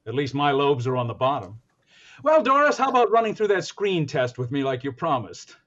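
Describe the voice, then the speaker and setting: A man's voice: a little high, sing-song, brisk, fairly bright tone, highs cut off. Man acting a hammy radio announcer, swooping delivery. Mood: eager, showy. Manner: melodramatic, grandly enunciated. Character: eager radio announcer hamming up a society husband. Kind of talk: 1940s radio comedy acting.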